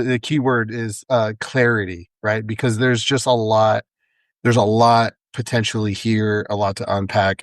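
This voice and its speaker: Man, podcast co-host, raspy congested voice, mid pitch, dry recording. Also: dry recording. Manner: agreeable, easygoing, filler-heavy. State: congested, raspy after a cold. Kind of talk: casual podcast chat.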